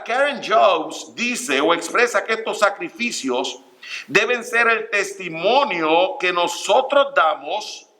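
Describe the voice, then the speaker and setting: A middle-aged man preaching a church sermon, earnest and direct, calling his listeners brothers and pausing on fillers. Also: high voice, sharp tone. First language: Spanish. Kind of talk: Spanish-language church sermon. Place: church sanctuary.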